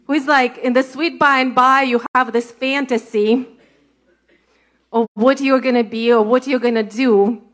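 A woman with a Russian accent, a ministry leader sharing a testimony in conversational church talk, animated and storytelling. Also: high voice, moderate pace. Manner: animated, laughing, storytelling with quoted thoughts.

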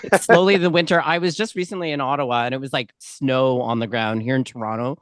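A woman's voice: rumbling low, fast, dry recording, clean studio sound. A woman born in the Philippines, co-hosting a podcast, chatty and upbeat with rambling asides. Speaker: podcast co-host. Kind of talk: casual chat.

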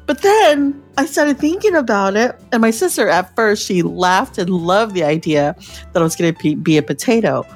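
A woman's voice: medium pitch, expressive, normal speed, slightly rough, faint music behind. A young woman co-hosting a podcast, chatting loosely and reading a guest's introduction with giggles. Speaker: podcast co-host. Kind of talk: casual chat.

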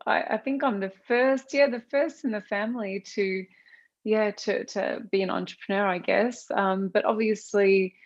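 A woman's voice: mid-range voice, fairly even intonation, normal speed. A woman from Australia, whose first language is Arabic, chatting as an interview guest with lots of fillers and restarts. She is talking over a remote call. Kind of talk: casual conversational interview. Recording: dull lo-fi sound.

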